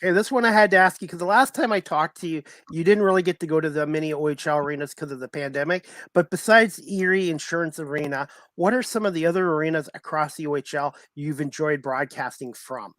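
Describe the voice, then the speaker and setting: A man's voice: a little high, fast, hardly any pauses, fairly bright tone, no room sound. A man hosting a sports talk interview, giving team updates and putting questions to a guest. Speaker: sports talk show host.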